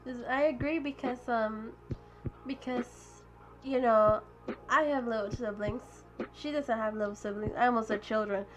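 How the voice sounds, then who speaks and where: A teenage girl co-hosting a podcast, chatting casually, halting and often giggling. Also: fairly high pitch, steady tone, highs cut off, music in the background. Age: teens. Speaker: podcast co-host. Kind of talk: casual chat.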